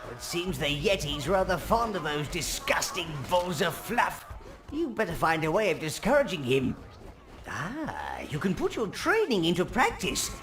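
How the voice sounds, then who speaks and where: An actor playing a scheming old minion advisor in a video game, with a wheezy, gravelly voice and a fawning, wickedly relishing manner. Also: male voice, high voice, huge pitch swings, measured pace, heavily acted. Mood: gleeful advising. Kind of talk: video game cutscene acting.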